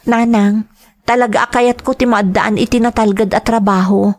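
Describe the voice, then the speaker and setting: A woman's voice: a little high, steady tone, moderate pace, a little mellow, lightly acted. A woman acting a determined, dutiful young adult daughter in an audio drama, with an Ilocano Filipino accent. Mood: earnest, hopeful. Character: determined, dutiful grown daughter seeking work abroad.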